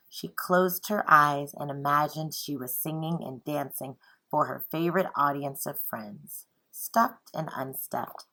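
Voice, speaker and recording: A woman speaks as a teacher at storytime, with a slightly low pitch and a very slow pace. Her voice is bright and clear-cut in emphasis. She is expressive and encouraging, as if guiding young pupils. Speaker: teacher reading bedtime stories aloud. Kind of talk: expressive children's read-aloud and encouraging address.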